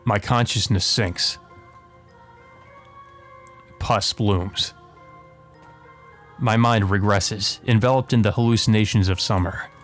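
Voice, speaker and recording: A man's voice: fairly low pitch, fairly even intonation, takes it slowly, long pauses, a bit bright. A man hosting a let's play, reading visual novel narration aloud and adding casual asides, with game music behind him.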